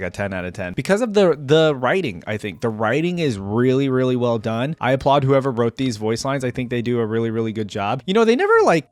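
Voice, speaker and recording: A man's voice: medium pitch, dry recording. A man hosting a reaction video, giving casual, unscripted commentary with enthusiastic, emphatic repetition.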